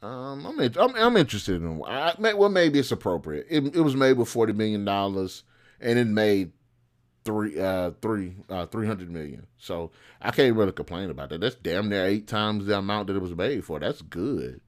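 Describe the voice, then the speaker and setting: A man with a fairly low voice talks off the cuff as a commentator. His delivery is casual and blunt, with strong opinions and points said over again for emphasis. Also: animated, moderate pace. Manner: blunt and opinionated, with mild swearing. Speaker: host and commentator. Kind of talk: casual unscripted film commentary.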